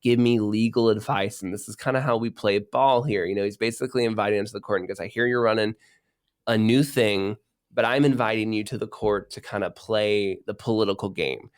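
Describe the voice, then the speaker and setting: A man's voice: on the low side, steady tone, brisk, clear, resonant tone, dry recording, clean studio sound. A man hosting a podcast, casually telling a church history story and acting out quoted lines in passing. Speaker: podcast host.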